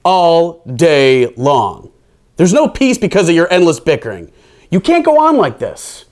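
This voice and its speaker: Casting: man reading as big fed-up toad in a picture book, raised pitch, swooping, scolding delivery. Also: huge pitch swings, unhurried. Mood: exasperated scolding. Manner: exasperated, loud, scolding.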